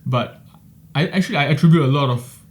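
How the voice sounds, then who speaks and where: Young man hosting a casual chat. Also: on the high side. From Singapore. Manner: friendly, quick, filler-heavy. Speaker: podcast host. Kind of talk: casual interview conversation.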